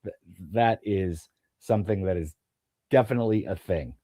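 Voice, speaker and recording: A man's voice: lowish voice, moderate pace, warm tone, no room sound, studio quality. A man with a slight lisp, chatting hesitantly about football and laughing often. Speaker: podcast co-host. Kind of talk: casual football chat.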